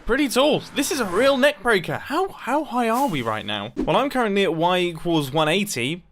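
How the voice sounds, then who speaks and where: A young man giving casual, excitable commentary over a video game, laughing often and joking about himself. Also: high voice. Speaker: gaming YouTuber.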